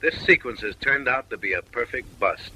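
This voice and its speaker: A man's voice: mid-range voice, animated, normal speed, sharp tone, slight background noise, poor audio. A man narrating a comic short film in voice-over, mock-refined and wry, lecturing ladies with teasing, suggestive asides.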